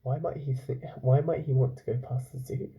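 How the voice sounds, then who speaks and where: A man working as a teacher, reading a children's book aloud and pausing to explain it and put questions to his young listeners. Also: mid-range voice, steady tone, creaky, mellow, slightly rough. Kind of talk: storytelling with explanatory asides to children.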